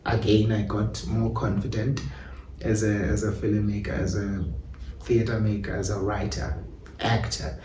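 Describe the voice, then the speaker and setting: Casting: South African male theatre-maker, soft dark tone, reflective interview delivery, roomy off-mic sound. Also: fairly low pitch, flat delivery, unhurried, distant mic. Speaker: playwright and director being interviewed. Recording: echoey room, off-mic, faint noise.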